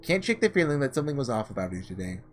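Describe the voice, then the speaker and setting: A man's voice: medium pitch, sing-song, racing, faint music behind. A man doing let's-play commentary, reading a visual novel aloud dramatically and tossing in offhand, sarcastic asides. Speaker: gaming host reading aloud and reacting.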